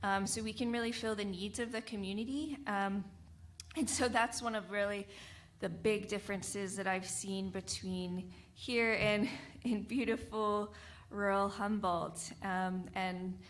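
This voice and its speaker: Casting: woman, community radio panelist, unhurried pace, mid-range pitch, earnest and measured delivery. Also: steady tone. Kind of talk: panel discussion, unscripted talk.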